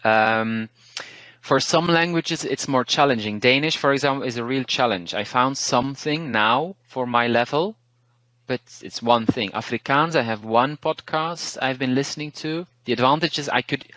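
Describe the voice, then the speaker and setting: A man from Belgium with a Belgian Dutch accent whose first language is Dutch, a language teacher talking through learning tips in a relaxed, thoughtful way, pausing and restarting words. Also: medium pitch, no room sound. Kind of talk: interview, casual advice.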